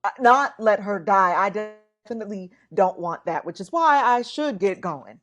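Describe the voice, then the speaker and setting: Woman playing awkward young detective type, wry n earnest, stop-start talk, natural radio drama acting, medium pitch. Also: lively intonation, a bit bright, faint music behind, close to an everyday voice. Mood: flustered, smitten. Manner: halting, flustered, earnest, wry. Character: awkward, smitten young privacy-gear seller and amateur investigator, Lavender.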